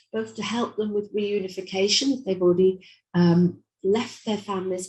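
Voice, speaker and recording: A woman presents at an online seminar in a measured, formal way. Her voice sits at a middle pitch. She pauses now and then to restart a word. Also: a little mellow, rough recording. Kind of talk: conference presentation.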